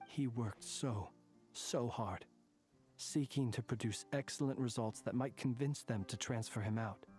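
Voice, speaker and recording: An actor voicing a formal, world-weary soldier-scholar who talks in a wordy, dryly wry way. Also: male voice, mid-range voice, unhurried, darkish tone, faint music behind, natural voice. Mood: somber. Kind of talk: game voice acting.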